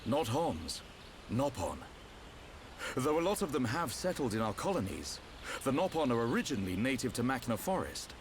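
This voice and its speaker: A man playing a seasoned, practical soldier and steady leader in a video game. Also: mid-range voice, normal speed, background noise, close to an everyday voice. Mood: matter-of-fact.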